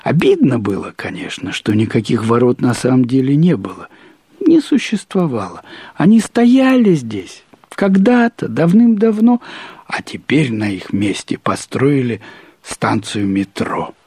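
A man reads a literary story aloud in the first person, expressively, with deliberate phrasing, drawn-out words and pauses. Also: mid-range voice, sing-song, mellow, husky, no echo. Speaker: narrator reading a short story aloud.

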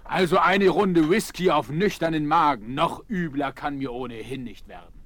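An actor playing an anxious, gruff man waiting for news of a friend's flight in a children's radio play. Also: a little high, moderate pace, a bit bright, a little hiss or hum, natural voice. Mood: tense, glum.

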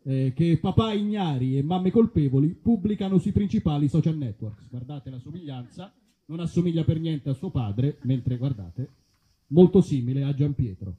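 A man's voice: a little high, animated, normal speed, muffled recording. A man narrating a live comedy reading in a theatrical, mock-epic, rambling manner. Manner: theatrical, absurd rambling lists.

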